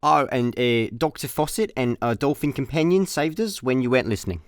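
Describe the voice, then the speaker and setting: A man with a Scottish accent acting a laid-back, deadpan space layabout in a comic radio play. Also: mid-range voice, a little fast, no room sound, natural voice. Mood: matter-of-fact. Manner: deadpan, hesitant understatement. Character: laid-back, careless male space layabout, drily unbothered.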